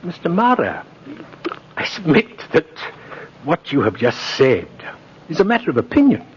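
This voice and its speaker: An actor playing a kindly old man who claims to be Santa Claus, courteous, measured and quietly assured, in 1950s radio drama style. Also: a little high, huge pitch swings. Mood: politely firm. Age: older. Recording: noisy, dull 1950s radio recording.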